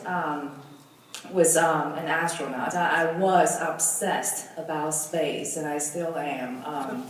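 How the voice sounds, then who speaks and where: Young woman from Taiwan, Mandarin native, low voice, hesitant designer presenting, recorded from afar in a very reverberant room. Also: a little hiss or hum. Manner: hesitant, many ums and restarts. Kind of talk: presentation talk with slides. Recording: echoey hall, mic from far off.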